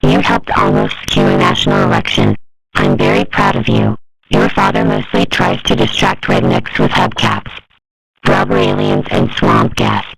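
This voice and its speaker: A performer voicing a frank, supportive middle-aged mother in a comic strip cartoon dub, gravelly and matter-of-fact. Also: rumbling low, level tone, moderate pace, background noise, full character voice. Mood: reassuring, frank.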